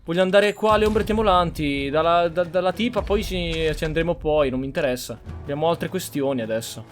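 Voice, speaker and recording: A man's voice: a little high, fast, faint music behind. A man hosting a video game roleplay with casual, blunt, informal commentary. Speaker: gaming host.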